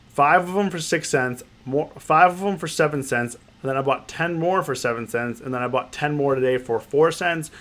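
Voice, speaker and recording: A man's voice: medium pitch, fairly bright tone. Male stream host, nasal from a head cold, loose casual patter that circles back on itself. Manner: rambling, repeats phrases, greets viewers by name. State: stuffy nose from a cold. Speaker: options trading livestream host. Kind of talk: casual livestream commentary, reading chat.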